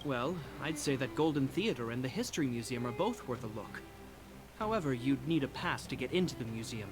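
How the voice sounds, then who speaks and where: An actor playing a polite, duty-bound young guard captain in a video game, speaking in a measured, formal way. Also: male voice, mid-range voice, expressive, close to an everyday voice. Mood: courteous. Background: game music.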